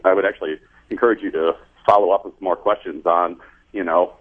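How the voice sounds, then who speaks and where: A man's voice: low voice, fairly even intonation, fast. An American man calling in to a radio show over a muffled phone line, explaining his point casually. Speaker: call-in listener, community college teacher.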